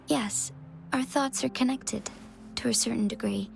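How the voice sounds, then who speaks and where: A woman's voice: on the high side, measured pace, vocal fry, soft background music. An actress playing a composed, gracious young female magistrate in a video game, speaking politely and formally. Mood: solemn, measured.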